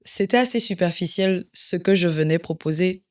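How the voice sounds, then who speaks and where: A woman in her thirties from Cameroon, a podcast guest who talks in a reflective, searching way with many pauses and fillers. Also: lowish voice, a little mellow. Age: 30s. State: emotional, close to tears. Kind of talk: podcast interview.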